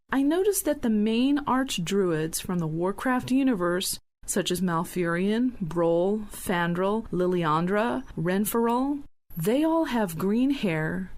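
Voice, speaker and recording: Female podcast presenter, mid-pitched, scripted and informational delivery. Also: a little mellow. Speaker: podcast host.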